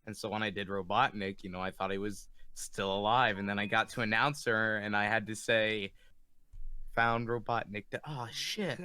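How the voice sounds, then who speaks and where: A man who does amateur voice acting, chatting casually and a little haltingly in a group Q&A. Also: fairly low pitch, steady tone, sharp tone. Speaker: amateur voice actor in a comic dub.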